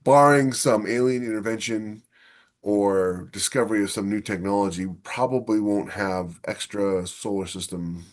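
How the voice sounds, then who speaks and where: Low-voiced man chatting as podcast host. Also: moderate pace. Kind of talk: casual podcast discussion.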